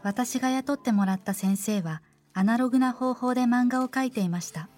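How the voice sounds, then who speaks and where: A woman narrating a scripted horror radio drama in the first person, in a measured, formal storytelling manner. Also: fairly high pitch, a little mellow, soft background music.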